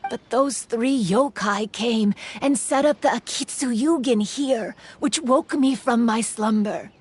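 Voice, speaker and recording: A woman's voice: on the high side, a little hiss or hum, close to an everyday voice. A woman voicing a wise, sorrowful female yokai spirit, telling a tale solemnly in a game cutscene. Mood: grave. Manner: slow, solemn, melancholy telling.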